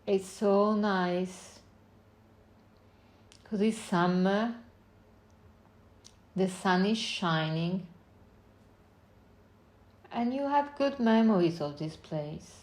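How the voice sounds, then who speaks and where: Slow-paced woman guiding a meditation. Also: medium pitch. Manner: unhurried short phrases with long pauses, gentle instructing. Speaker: meditation guide. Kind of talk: guided meditation, slow spoken visualization.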